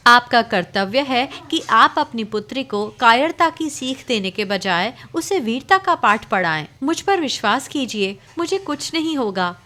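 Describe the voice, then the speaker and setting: A woman's voice: a little high, expressive, measured pace, few pauses, pushed and bright. A woman voicing a brave, determined young princess in a cartoon. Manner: resolute, reassuring.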